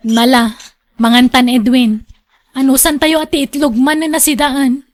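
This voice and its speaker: Woman playing thrifty scolding wife, high voice, Ilocano Filipino accent, exasperated, radio drama. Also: fairly even intonation, normal speed, lightly acted. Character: thrifty, nagging wife and mother, practical and exasperated.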